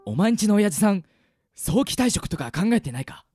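Actor doing excitable creepy schoolboy in a radio comedy, voice swoops all over, loud music behind. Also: medium pitch, fairly quick, natural voice. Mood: earnest, deadpan. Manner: dramatic, comically over-reacting. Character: excitable teenage schoolboy with a shameless creepy obsession with little girls. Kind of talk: anime-style comedy radio drama acting. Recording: loud music bed under the voice.

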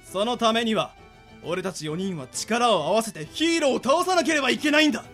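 High-pitched, fervent monster boss. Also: male voice, lively intonation, fairly quick, a bit bright, faint music behind, exaggerated voice. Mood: rallying. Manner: rousing speechmaker, dramatic exclamations. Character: scheming male monster boss, fervent, theatrical, ambitious. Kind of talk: anime-like radio drama acting.

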